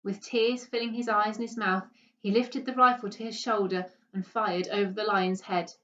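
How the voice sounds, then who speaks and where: A woman reading a children's story aloud with expressive narration. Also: mid-range voice, normal speed. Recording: dull, distant microphone.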